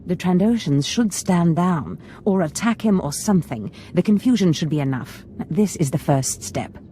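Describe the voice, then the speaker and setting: An actress playing a scheming, ambitious Sith lord mentor in a video game, theatrical and conspiratorial. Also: female voice, fairly low pitch, lively intonation, normal speed, warm tone, a little hiss or hum, close to an everyday voice.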